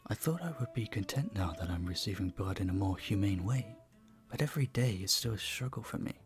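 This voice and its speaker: A man playing an earnest, courteous, flirtatious vampire bartender in an intimate audio roleplay, speaking softly, politely and deliberately. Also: lowish voice, creaky. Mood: troubled, yearning.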